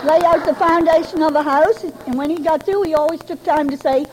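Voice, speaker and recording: An older woman with a high voice shares her life story with a meeting, folksy and chatty with a light touch of humor. A faint hum of ambience sits behind her. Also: steady tone, brisk, a crowd in the background. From Rhode Island, New England. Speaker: Al-Anon member giving a talk. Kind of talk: personal recovery story told to a meeting. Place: speaking to a gathering.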